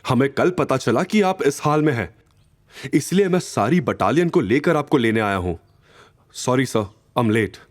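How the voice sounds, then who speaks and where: A man's voice: medium pitch, lively intonation, measured pace, no room sound, studio quality. A man voicing a respectful, earnest army captain reporting to his superior in an audio drama. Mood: apologetic, dutiful. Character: dutiful deferential male army captain. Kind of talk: Hindi audio drama acting.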